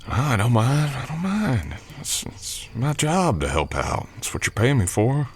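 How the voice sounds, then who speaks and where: A man playing a polite drifter cowboy hired on an Old West ranch, talking in an unhurried folksy drawl in an ASMR roleplay. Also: medium pitch, very animated, a little hiss or hum. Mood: polite, eager. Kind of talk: ASMR roleplay acting, addressing the listener.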